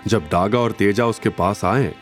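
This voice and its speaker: A man's voice: lowish voice, measured pace, soft background music. A man narrating a scripted audio drama in a dramatic storytelling style.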